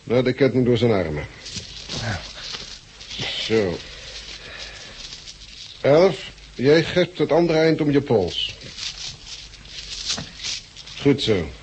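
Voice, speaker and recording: An actor with a Dutch accent from the Netherlands playing a bossy, businesslike group leader in a 1960s radio drama, curt and matter-of-fact. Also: male voice, mid-range voice, sing-song, very slow, long pauses, a little mellow. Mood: curt, commanding. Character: bossy, businesslike male group leader giving orders to a captive. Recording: noisy old 1960s radio recording.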